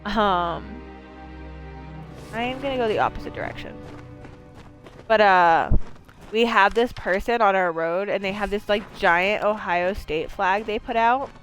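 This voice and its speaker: A woman's voice: mid-range voice, a little slow, pauses often, a bit bright, soft background music. A woman from Maine streaming a video game, talking in a rambling, chatty way with lots of fillers and swearing. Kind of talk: casual let's-play chat.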